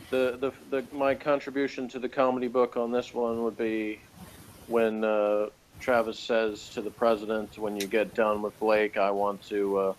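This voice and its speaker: A man co-hosting a casual review chat, thinking aloud haltingly with dry humor. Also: on the low side, slight background noise, low-quality recording. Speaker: co-host giving review opinions.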